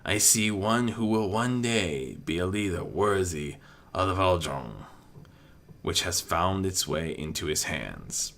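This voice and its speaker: A man reads a wise otherworldly male figure in a fantasy audiobook. He is calm and probing, with measured, solemn delivery and a bright edge to the voice. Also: low-pitched, heavily acted.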